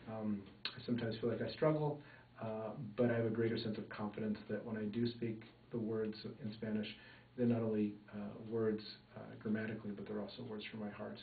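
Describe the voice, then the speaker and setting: A man from Minnesota giving an oral history interview, talking in a thoughtful, measured way with many fillers. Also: lowish voice, flat delivery, slight background noise. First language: English. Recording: echoey room, far mic, phone-like band.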